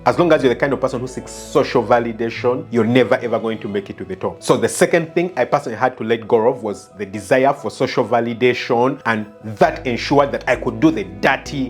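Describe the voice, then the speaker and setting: A man from Uganda, a former doctor turned farmer, giving an earnest motivational talk and often asking "yeah?" to check in with his listener. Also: medium pitch.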